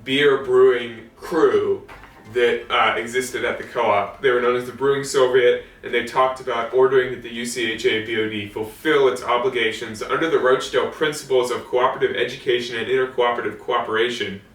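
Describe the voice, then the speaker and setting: A man giving an informal history talk, reading from old documents in a halting, amused way. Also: medium pitch, fairly even intonation, fairly bright tone. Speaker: host of a co-op history series. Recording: echoey room, distant mic, faint music.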